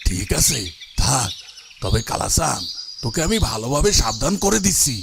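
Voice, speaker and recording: A man's voice: medium pitch, big pitch swings, moderate pace, gravelly, noisy background. A man playing a stern, grudgingly forgiving master in a cartoon fairy tale, talking in a scolding way. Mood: stern, warning.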